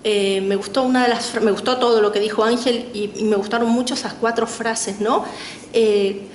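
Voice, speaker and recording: A middle-aged woman with an Argentine accent speaks as a panel guest writer, thoughtful, pausing with fillers and tag questions. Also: fairly high pitch, fairly even intonation. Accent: Argentine Spanish. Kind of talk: reflective talk at a literary panel. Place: lecture hall. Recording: echoey room with faint noise.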